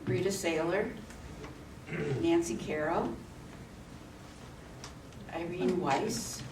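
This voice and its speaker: Slow, halting woman reading names. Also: fairly low pitch, far from the mic. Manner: slow and halting, stops to add remarks. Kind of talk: reading a list of names at a meeting. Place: community meeting room. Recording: echoey room audio recorded from afar, with noise.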